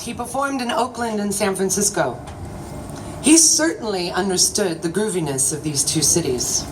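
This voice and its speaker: Woman doing spoken word, slow n theatrical, mic kinda far, noisy street sound behind. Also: medium pitch. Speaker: writer and magazine editor performing own work. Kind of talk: live spoken-word literary reading. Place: city alley at a live event. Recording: distant mic, noisy outdoor ambience.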